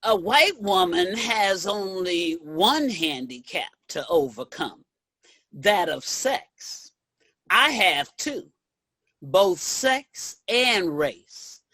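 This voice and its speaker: Woman acting a slow, resolute woman activist. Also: medium pitch, very animated, a bit bright, no echo, full character voice. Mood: grave, firm. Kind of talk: reader's theater monologue.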